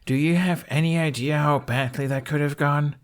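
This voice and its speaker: A man's voice: on the high side, fairly even intonation, moderate pace, pitched above the performer's own voice, close to an everyday voice. A man voicing an impatient, indignant teenage boy dragon rider in an audiobook, protesting in an exasperated, emphatic way.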